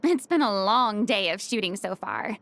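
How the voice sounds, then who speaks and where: A woman's voice: mid-range voice, lively intonation, moderate pace, creaky, fairly bright tone, slight background noise. A woman voicing a theatrical, bossy and cheerful young female film director in an anime video game. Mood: reassuring. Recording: music underneath. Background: background music.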